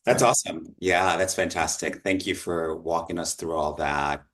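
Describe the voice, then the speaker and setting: Host: man, very low pitch, upbeat and fluent. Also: steady tone, moderate pace, fairly bright tone. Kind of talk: interview hosting.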